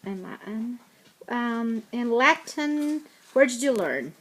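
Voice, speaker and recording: Woman interviewer, slightly high voice, halting questions, repeats words, non-native phrasing. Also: animated, rough recording.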